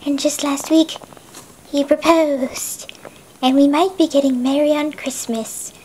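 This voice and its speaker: Sweet-but-bossy female teacher, put on by the performer; very high voice, patronizing, slight hiss behind. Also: steady tone, unhurried, darkish tone, rough recording. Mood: sugary. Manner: condescending, calls others dearie.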